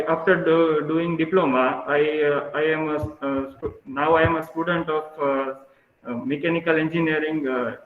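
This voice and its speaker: A twenty-year-old man with an Indian accent, a student introducing himself in a halting, hesitant way. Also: a little high. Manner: halting, hesitant, restarts phrases. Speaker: engineering student. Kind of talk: spoken self-introduction. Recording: tinny phone-like audio, echoey room.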